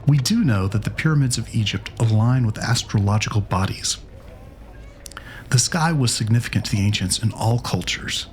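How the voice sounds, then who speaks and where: A man's voice: on the low side, lively intonation, normal speed, slight background noise, close to an everyday voice. Man playing an earnest, didactic history teacher. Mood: instructive. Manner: didactic, explanatory. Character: earnest male history teacher lecturing teenagers. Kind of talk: scripted lecture in a comic dub.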